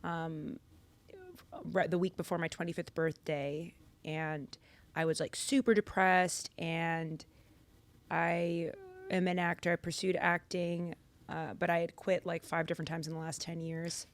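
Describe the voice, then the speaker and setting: A young woman from Massachusetts, a podcast guest telling her story in casual chat, animated and louder for emphasis, on a studio boom mic. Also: unhurried. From Massachusetts, United States. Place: podcast studio with purple lighting.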